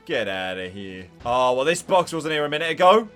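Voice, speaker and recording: A man's voice: mid-range voice, sing-song, fairly quick, a bit bright, faint music behind. A man streaming a video game live, reacting playfully and casually with lots of exclamations.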